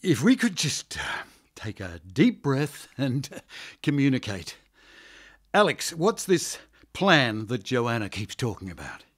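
An actor playing an exasperated male party official who speaks with dry sarcasm and strained calm. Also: mid-range voice, a little slow.

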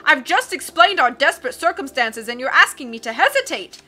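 A woman voicing a loud, excitable male sea captain who fires off rhetorical questions and punctuates them with "aye.". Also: high voice, a little fast, soft background music, exaggerated voice. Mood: urgent.

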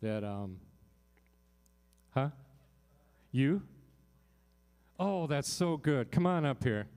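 A man's voice: mid-range voice, lively intonation, very slow, a little mellow, clear, resonant tone. An older man talking casually to an audience from a small hall stage, joking and chatty into a handheld mic. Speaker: performer hosting a concert.

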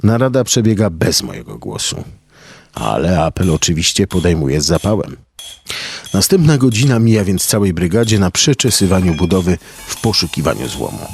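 Gravelly male storyteller, lively pitch, slangy and rough. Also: moderate pace. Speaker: narrator and solo performer of a radio story. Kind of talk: dialect-heavy storytelling with acted voices.

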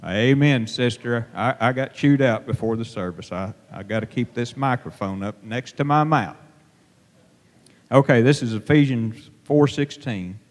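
A middle-aged man preaching an informal sermon from a pulpit, plain-spoken and halting, with many fillers and restarts. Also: medium pitch. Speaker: lay preacher. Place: church sanctuary, at a pulpit. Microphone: handheld microphone held to mouth. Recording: long reverb of a church hall.

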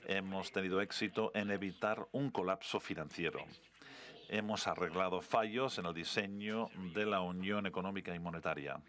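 A man interpreting a formal speech live, halting and correcting himself, with stretched words followed by quicker bursts. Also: low voice, a bit bright. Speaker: simultaneous interpreter.

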